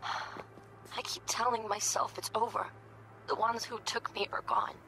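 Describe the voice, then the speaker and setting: A woman's voice: a little high, flat delivery, measured pace, creaky, bright, forceful tone, slight background noise, full character voice, poor audio. A woman playing a guarded, uneasy corporate professional in a video game, clipped and evasive in her speech. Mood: shaken, uneasy. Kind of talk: naturalistic video game voice acting.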